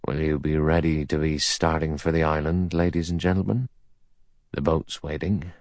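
A man voicing a weather-beaten seaman in an audiobook, with a soft Devon accent and a deferential, persuasive manner. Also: extremely low pitch, flat delivery, normal speed, no room sound, clean studio sound. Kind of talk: audiobook character dialogue.

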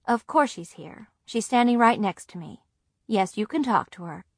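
A woman voicing a soft-spoken tired mother. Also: fairly high pitch, lively intonation, normal speed, breathy edge, dry recording, studio quality. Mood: reassuring. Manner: soft and quiet. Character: gentle, tired middle-aged mother.

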